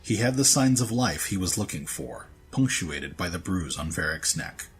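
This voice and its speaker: Deep male narrator reading a dramatic story, faint music under him. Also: expressive, normal speed.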